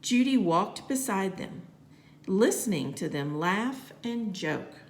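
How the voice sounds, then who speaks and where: A woman reading a children's storybook aloud in a deliberate, expressive storytelling manner. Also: medium pitch. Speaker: teacher reading aloud to students. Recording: roomy, echoey home-style recording.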